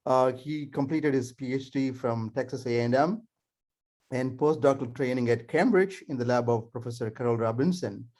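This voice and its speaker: A man's voice: medium pitch, a little mellow. A man hosting an online seminar, giving a formal introduction of the speaker with hesitant fillers between phrases. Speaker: seminar host. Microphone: video call.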